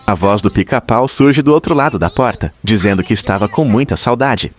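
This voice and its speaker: A man's voice: lowish voice, animated, fairly quick, faint music behind. A man with a Brazilian accent narrating a horror story as host of a video.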